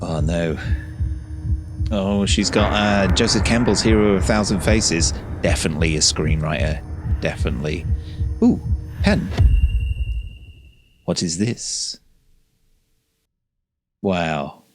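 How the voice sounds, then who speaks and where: A man's voice: low-pitched, slow pace, pauses often, background noise. A man giving casual gameplay commentary, musing aloud and reacting to what is on screen. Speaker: gameplay streamer.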